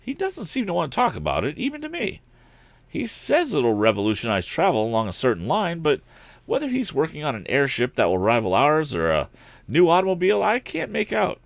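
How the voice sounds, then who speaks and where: A man voicing an earnest, eager teenage boy inventor in a storybook reading, bright and emphatic in manner. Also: a little high, wildly swooping pitch, a little fast, creaky, no echo, pitched above the performer's own voice, heavily acted. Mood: puzzled, thoughtful. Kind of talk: audiobook character voice.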